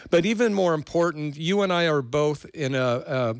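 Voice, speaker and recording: A man's voice: a little high, lively intonation, moderate pace, gravelly, clear, resonant tone, no room sound. A man hosting a podcast interview, introducing his guest in a loose, rambling way with fillers. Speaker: podcast host.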